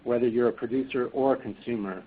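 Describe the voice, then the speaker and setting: A man moderating a webinar, speaking haltingly with many fillers and restarts. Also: medium pitch, fairly even intonation, a little hiss or hum. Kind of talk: webinar presentation. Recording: tinny phone line.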